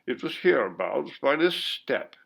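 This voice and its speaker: A man's voice: medium pitch, big pitch swings, measured pace, vocal fry, a bit bright, pitched above the performer's own voice, exaggerated voice. A man voicing a pompous, curt college bursar in a ghost story reading, with a disapproving manner. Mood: grave recounting.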